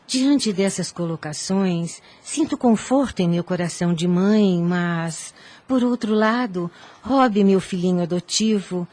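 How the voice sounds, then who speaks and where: A woman playing a devout, gentle, weary mother in an audio drama, speaking formally and earnestly. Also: fairly low pitch, far from the mic, close to an everyday voice. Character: devout, gentle, ailing mother worn before her time.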